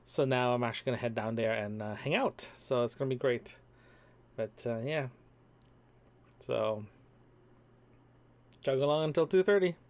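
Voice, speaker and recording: A young man from Toronto keeps a casual audio diary, talking alone into a microphone. He rambles, stacks up ums and restarts, and repeats words while he searches for what to say. His voice sits at a middle pitch. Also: moderate pace, long pauses. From Toronto, Canada. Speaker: podcast host. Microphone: single microphone.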